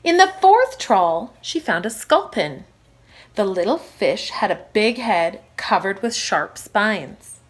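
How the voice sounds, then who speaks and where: A woman reads a storybook to young children in the manner of a primary school teacher. Her pitch moves in a lively way, and she leans on key words with expressive emphasis. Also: slow pace. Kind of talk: children's storybook read-aloud.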